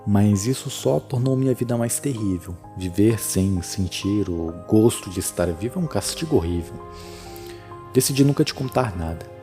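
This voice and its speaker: A man's voice: on the low side, gravelly. A Brazilian man voicing a dead father's ghost, an older, sardonic, fatalistic man, in a horror audio drama.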